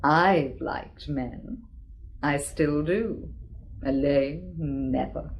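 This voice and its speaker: A woman's voice: deep voice, drawn-out words, gravelly, dull audio, a little hiss or hum, full character voice. An actress playing a frank, sardonic woman questioned about her dead sister in a radio drama. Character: blunt worldly woman, sister of murdered woman.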